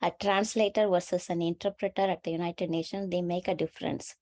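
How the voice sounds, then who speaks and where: A woman with a lowish pitch hosts a webinar over a video call. Her is Tamil, and she circles her points aloud with fillers and self-corrections. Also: fairly even intonation, a little fast, darkish tone. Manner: thinks aloud with many fillers and restarts. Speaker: webinar host. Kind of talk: conversational webinar discussion.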